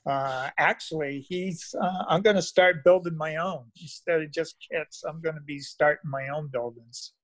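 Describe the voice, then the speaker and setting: Older man, slightly high voice, close and dry. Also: moderate pace, few pauses, a bit bright, no room sound. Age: older, retired. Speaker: meetup guest, model railroad hobbyist. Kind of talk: casual chat. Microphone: phone at arm's length on video call.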